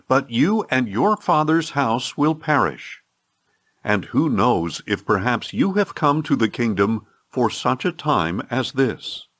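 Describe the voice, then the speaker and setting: A man's voice: medium pitch, fairly bright tone. A man voicing a stern male guardian giving a grave, emphatic warning in an audiobook scripture reading.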